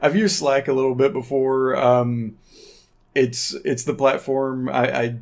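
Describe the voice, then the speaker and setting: Casual male tech vlogger, medium pitch. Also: darkish tone. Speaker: tech video host. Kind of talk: casual unscripted tech commentary to viewers.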